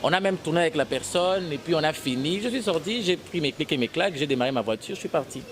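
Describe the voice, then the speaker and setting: A man's voice: fairly high pitch, lively intonation, quick pace, a crowd in the background. Congolese man mixing French and Lingala. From Congo (DRC), Kasai. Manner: mixes French and Lingala, rambling with restarts. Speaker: interviewed actor. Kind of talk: casual interview answers.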